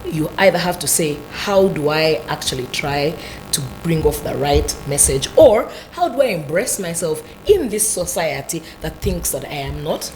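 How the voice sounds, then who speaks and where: A Ugandan woman with a deep voice, a talk show guest explaining her ideas thoughtfully with many "you know" asides. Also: animated, normal speed, a little mellow, soft background music, rough recording.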